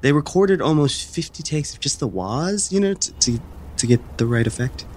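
A man's voice: on the high side, lively intonation, darkish tone, a little hiss or hum, natural voice. An actor playing an awkward, apologetic young man who stammers and hesitates, in a naturalistic fiction podcast. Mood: earnest, rambling. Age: young adult. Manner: halting, stammering, tentative.

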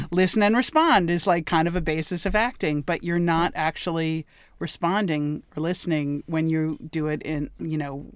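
Woman, interview host, clear smooth voice, casual chat, dry recording. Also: lowish voice, no echo. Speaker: host, writer.

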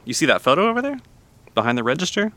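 Bright-voiced, folksy cafe owner. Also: male voice, fairly high pitch, sing-song, racing. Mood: chatty, folksy. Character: friendly, chatty male owner of a small-town cafe. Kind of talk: naturalistic audio drama acting.

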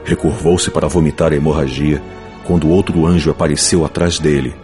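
A man's voice: a bass voice, fairly even intonation, brisk. A man narrating a fantasy novel in a dramatic, scripted audiobook style. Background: music.

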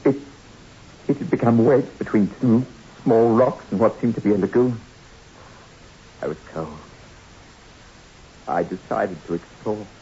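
An actor playing a distraught male castaway in shock, halting and dramatic, in an old radio horror drama. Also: fairly low pitch, mellow. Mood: haunted recollection. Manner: halting, dramatic, swinging from brooding to pleading. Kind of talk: 1960s radio horror acting. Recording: muffled, noisy old radio recording.

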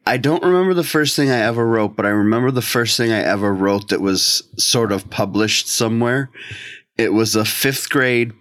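A man's voice: medium pitch, normal speed, fairly bright tone, dry recording. A middle-aged American man from the northeastern United States, talking casually as a writer being interviewed.

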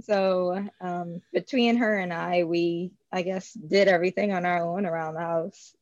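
A woman's voice: fairly low pitch, steady tone, unhurried, a little mellow, no echo, dull audio. A woman, talking as an interview guest, hesitant with many fillers and frequent laughs. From Bahamas. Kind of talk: casual interview.

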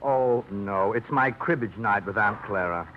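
An actor playing a mild, cautious small-town suitor in a 1950s radio drama, with a hesitant, fumbling manner. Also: male voice, on the low side, measured pace, close to an everyday voice. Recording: muffled old radio broadcast, faint hiss.

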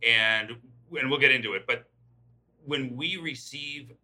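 A man's voice: medium pitch, a little slow, pushed and bright, rough recording. A man giving informal, explanatory answers as a guest in a podcast interview. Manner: informal, explanatory, checks in with "right?". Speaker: podcast guest, tech company chief executive.

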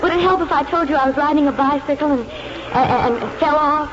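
Woman acting a high-voiced romantic young sweetheart. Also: a little fast, close to an everyday voice. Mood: playful, frank. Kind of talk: 1940s radio drama acting. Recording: dull, noisy 1940s radio recording.

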